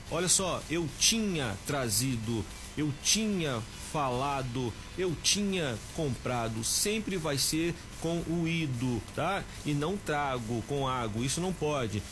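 A man's voice: a little high, fairly bright tone, a little hiss or hum. A man, a language teacher giving a short grammar tip on the radio and speaking straight to the listeners. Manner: explanatory, addresses listeners as "gente".

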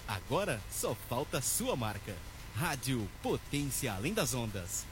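A man's voice: medium pitch, unhurried. A man with a Brazilian accent reading a persuasive radio promo. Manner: persuasive, lively sales read. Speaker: announcer. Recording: clear background noise.

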